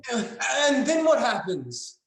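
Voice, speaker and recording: An actor playing an earnest, pleading young time traveler in a melodramatic sci-fi screenplay reading, with halting delivery. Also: male voice, extremely high pitch, huge pitch swings, gravelly, reverberant, exaggerated voice.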